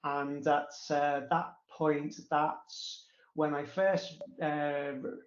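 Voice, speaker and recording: Slow, stuttering man in an echoey room. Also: on the high side, little pitch movement. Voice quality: stutter with blocks, repetitions and drawn-out pauses. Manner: halting, frequent fillers. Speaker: interview guest. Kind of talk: casual personal recollection. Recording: roomy, echoey, dull sound.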